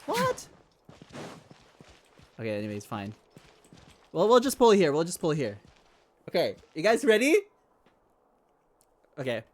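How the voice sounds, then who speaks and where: A man chatting casually on a gaming livestream in an informal, excitable way, often repeating his phrases. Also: a little high, measured pace, pauses often. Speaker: game streamer.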